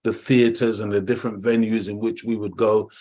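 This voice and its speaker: A man's voice: fairly low pitch, level tone, fairly quick, warm tone. Jamaican-born guy, middle-aged, fired up, preachy, keeps repeating himself for emphasis. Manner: preaching, emphatic, repeats words, addresses "dear family". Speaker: co-host. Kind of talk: impassioned talk-show monologue. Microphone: online call.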